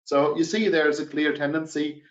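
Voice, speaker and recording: A middle-aged man with a German accent, presenting a business webinar and pausing often on filler sounds. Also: on the high side, little pitch movement, fairly bright tone. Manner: hesitant, many fillers. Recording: dull, roomy webinar audio.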